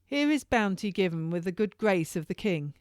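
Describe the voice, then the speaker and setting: A woman's voice: mid-range voice, lively intonation, normal speed, no room sound, studio quality, lightly acted. A woman voicing a young male prison turnkey in a historical audiobook, urgent and insistent, with emphatic, archaic formal speech. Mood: earnest, official. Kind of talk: audiobook character dialogue.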